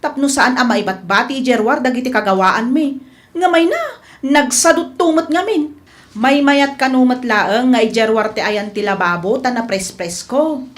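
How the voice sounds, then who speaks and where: A woman's voice: fairly high pitch, moderate pace, natural voice. A woman playing an exasperated village housewife in a radio comedy drama, complaining with emphasis. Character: exasperated village housewife griping about thieves.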